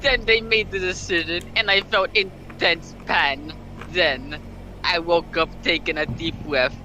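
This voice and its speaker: A man's voice: fairly high pitch, fairly even intonation, unhurried, bright, forceful tone, poor audio. A man reading a story aloud in an intense, theatrical way, breaking off for joking asides. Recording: some background noise.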